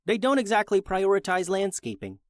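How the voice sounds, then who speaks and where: A man's voice: high voice, sing-song, fairly quick, voice pushed up. A combative young woman with a rifle and a sarcastic streak, put on by a male narrator. She mutters her lines in a kids' space adventure. The sound is dry, without room echo. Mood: sardonic.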